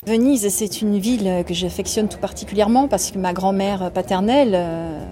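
Unhurried mellow-voiced woman, fondly reminiscing. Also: mid-range voice, soft background music. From France. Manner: unhurried, fond, lists sensory details with soft hesitations. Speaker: model speaking on a podcast. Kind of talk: relaxed personal monologue.

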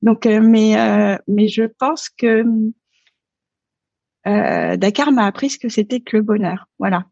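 A woman's voice: medium pitch, big pitch swings, a little slow, gravelly, mellow, dry recording, dull audio. A French woman in her thirties, a podcast guest talking casually and hesitantly, with many fillers and restarts. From France. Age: 30s. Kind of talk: casual interview talk.

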